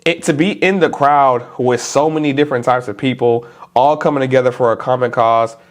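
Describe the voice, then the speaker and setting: A man with a stuffed-up, congested nose talks straight to his viewers in a loose, chatty way, full of informal slang. His voice sits at an ordinary middle pitch. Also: a little fast. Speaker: vlogger. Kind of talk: casual talk to camera.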